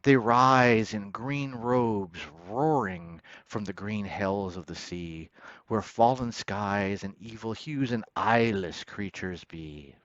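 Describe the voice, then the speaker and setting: A man recites verse with theatrical weight in a lowish voice. He takes it very slowly, declaiming with big swells and letting phrases sink away quietly. The recording is dry and slightly dull. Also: no room sound. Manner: declamatory, swelling from hushed line endings to loud proclamations. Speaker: poetry reader. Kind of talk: dramatic poetry recitation.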